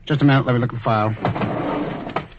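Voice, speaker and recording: A man's voice: mid-range voice, steady tone, normal speed, noisy background, lightly acted. A man playing an officious, pedantic clerk in a 1940s radio drama. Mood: brisk, fussy. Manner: pedantic, bureaucratic. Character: officious, fussy male clerk of a celestial bureau. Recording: dull vintage 1940s radio recording.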